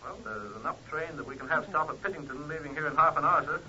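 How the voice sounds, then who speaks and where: A man playing an eager, deferential detective sergeant, sharp and rough-edged, giving halting reports in an old-time radio crime drama. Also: a little high, full character voice. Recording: dull lo-fi old radio recording.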